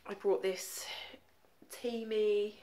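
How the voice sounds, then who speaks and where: Slow-talking snuffly woman vlogger. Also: mid-range voice, little pitch movement, dark tone. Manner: rambling, chatty, lots of ums and likes. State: congested with a cold. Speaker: makeup vlogger. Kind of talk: casual chatty vlog. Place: home living room, indoors. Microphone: phone held at arm's length.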